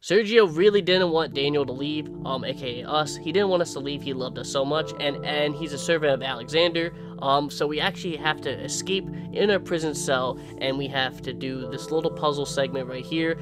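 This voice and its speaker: A young man commentating on a horror game in a jokey, excitable way. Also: on the high side, brisk, few pauses. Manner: jokey, excitable, swears and shouts. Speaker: gameplay commentator. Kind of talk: gameplay commentary. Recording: game music behind voice.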